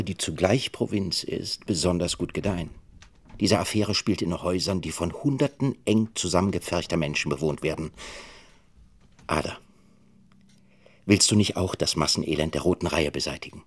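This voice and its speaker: An actor in a radio drama playing a sardonic writer, narrating in a deliberate, literary manner. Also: male voice, low voice, slightly rough. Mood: sardonic, insistent.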